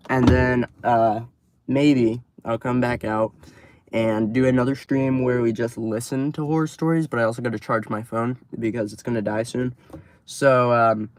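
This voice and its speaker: A man reading horror stories on a live stream, outdoors at night, casual and tripping over words, his voice going hoarse. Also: medium pitch, little pitch movement, normal speed. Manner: swears freely, stumbles over words. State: losing his voice.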